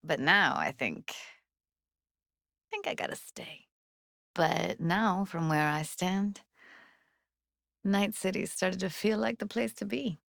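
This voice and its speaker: A woman's voice: mid-range voice, slow pace, gravelly, long pauses, a bit bright, no echo, studio quality. A woman playing a wry, tender young streetwise techie in a video game, with casual, clipped, slangy delivery. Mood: wistful. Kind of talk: video game character dialogue.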